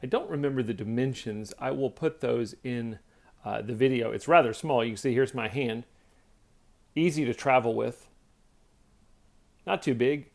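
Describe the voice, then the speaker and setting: A man's voice: medium pitch, moderate pace, vocal fry, pauses often. A man giving a casual product demonstration, explaining things step by step in a plainspoken way. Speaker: product reviewer.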